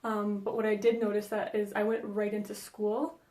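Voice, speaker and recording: A woman's voice: medium pitch, flat delivery, normal speed, warm tone, echoey room. A young woman who grew up speaking Danish, answering viewer questions in a chatty vlog, with frequent ums and likes. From Denmark. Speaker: vlogger. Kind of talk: casual Q&A vlog.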